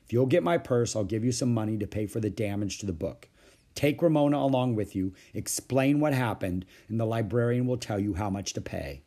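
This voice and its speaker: A man's voice: lowish voice, fairly quick, mellow. A man voicing a firm, reproving mother of two children in a storybook reading.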